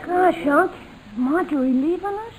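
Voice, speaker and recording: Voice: very high voice, normal speed, crowd noise. An actor playing an eager, impatient schoolboy nephew in an old-time radio sitcom, full of exclamations. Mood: eager, excited. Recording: noisy vintage radio recording.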